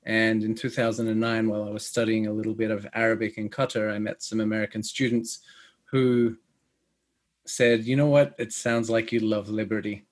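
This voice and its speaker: A man in his thirties from Australia, with an Australian accent that sounds close to British, speaking as a guest on an interview show, measured and pausing often. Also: lowish voice, flat delivery. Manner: measured, with many pauses and fillers. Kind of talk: podcast interview.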